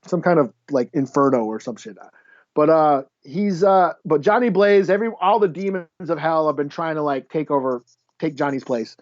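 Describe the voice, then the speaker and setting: A man co-hosting a podcast, chatting through a recap in a halting, offhand, mildly profane way. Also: fairly high pitch, animated. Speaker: podcast co-host reviewing comic books. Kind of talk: casual chat recap. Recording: dull, lo-fi audio.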